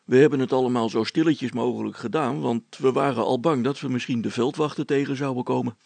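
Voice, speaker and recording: Inventor for a storybook, played by a male reader: good-natured but wary, husky, plain and hesitant in speech. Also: mid-range voice, steady tone, fairly quick, darkish tone, no room sound. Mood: puzzled.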